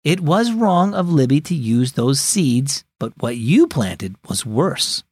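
A man voicing a calm, patient mother who gently teaches her daughter, in a children's storybook reading. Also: mid-range voice, takes it slowly, breathy edge, dry recording, studio quality, full character voice. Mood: gentle.

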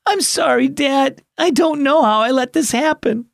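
Guy doing a high lively little girl voice, teary and pleading, dry sound. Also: unhurried, creaky, no room sound, exaggerated voice. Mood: sobbing. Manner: sniffly, pleading. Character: young girl, tearful and earnest.

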